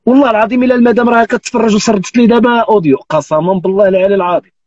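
A man's voice: high-pitched, racing, a little mellow, dull audio. A Moroccan man in his thirties hosting a live stream, teasing and telling jokes with frequent oaths. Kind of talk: joking casual chat. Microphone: phone on live video call.

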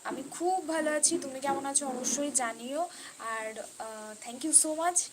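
A woman's voice: high-pitched, normal speed, very noisy. A woman chatting casually and unscripted as a live stream host, repeating herself and mixing in English words. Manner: repetitive, mixes in English words. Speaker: live stream host reading and answering viewer comments.

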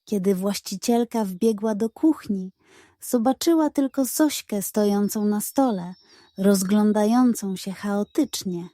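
A woman narrating a scripted children's bedtime story in an expressive storyteller's manner. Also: mid-range voice, no echo, clean studio sound. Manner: expressive, unhurried.

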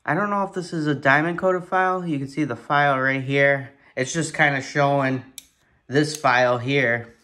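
Excited man reviewing a tool at home. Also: mid-range voice, moderate pace. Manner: excited, swears in surprise, thinks aloud. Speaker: product reviewer. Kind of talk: casual hands-on product review. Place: kitchen table at home, indoors.

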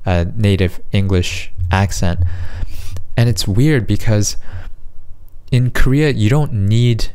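A man's voice: low-pitched, a little mellow, clean tone. A man in his thirties with a North American accent, talking casually and rambling a little as he shares his views in a vlog from a small bedroom at a desk mic. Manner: rambling, self-correcting, conversational. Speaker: vlogger. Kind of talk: casual talking-head commentary. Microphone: desk microphone in front.

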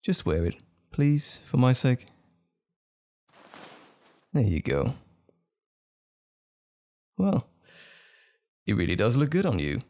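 A man playing a tender, smitten date in an intimate romantic ASMR roleplay, speaking gently and hesitantly with lingering pauses, close to the microphone. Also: medium pitch, wildly swooping pitch, heavily acted. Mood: gentle, earnest. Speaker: audio roleplay performer.

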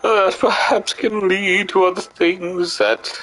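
A man's voice: sits high, fairly even intonation, slow pace, fairly bright tone. A man giving casual, playful and theatrical gameplay commentary as he streams, sometimes slipping into sing-song. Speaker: game streamer.

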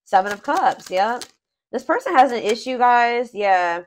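A woman giving a casual tarot reading off camera, thinking aloud with fillers and restarts, her voice a little hoarse. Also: fairly high pitch, lively intonation, measured pace, slight background noise. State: voice going hoarse. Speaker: tarot reader.

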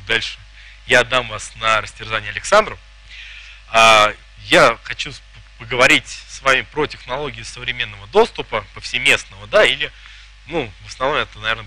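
A man's voice: medium pitch, bright, forceful tone. A man in his thirties giving a live technical talk in a conference hall, joking and asking the audience questions. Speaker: conference presenter. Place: conference hall with a projector screen.

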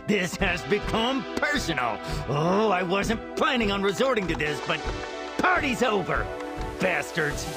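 A man voicing a menacing, gleeful ice cream villain in a video game, gloating slowly and theatrically. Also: high-pitched, sing-song, gravelly, heavily acted. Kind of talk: video game cutscene acting. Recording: loud game music over voice.

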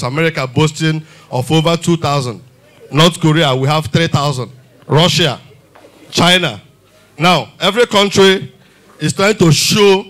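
A Nigerian man in his thirties doing a stand-up comedy set on a theater stage with a handheld mic, animated and full of rhetorical questions. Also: on the high side. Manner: animated, mixes Pidgin and English, rhetorical questions. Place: large theater stage with audience.

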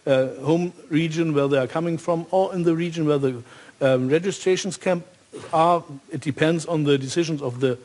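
A man with a German accent speaking off the cuff on a panel, in halting phrases with frequent pauses. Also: a little high, a little mellow. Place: large hall stage at a symposium.

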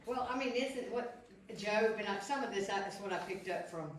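A woman's voice: mid-range voice, moderate pace, a little hiss or hum. Lady chatting in a study group, far from the mic, lots of room echo. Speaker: Bible study participant. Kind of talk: casual group discussion. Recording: echoey room, distant mic.

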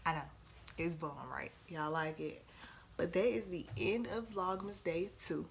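A woman's voice: fairly low pitch, unhurried, vocal fry, slight background noise. A young American woman vlogging in casual, slangy chat with a sassy manner, recorded in a small bedroom on a camera a little way off. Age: young adult.

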